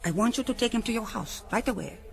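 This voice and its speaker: An actress playing a stern, pious Reverend Mother of a convent in a radio drama, admonishing with deliberate authority. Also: fairly high pitch, animated, creaky, a little mellow. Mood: stern, instructing.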